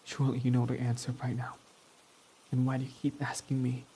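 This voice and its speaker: A man's voice: medium pitch, monotone, brisk, dark tone, slight background noise, natural voice. Man voicing a proud tsundere villain. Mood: flustered, teasing. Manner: defensive, swears when flustered. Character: proud tsundere young male villain, gruff but smitten. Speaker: ASMR roleplay performer. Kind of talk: ASMR roleplay.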